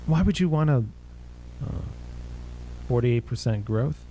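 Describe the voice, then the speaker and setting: A man hosting a reaction video, giving casual commentary with muttered asides, quick exclamations and chuckles. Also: mid-range voice, lively intonation, dark tone, a little hiss or hum, poor audio.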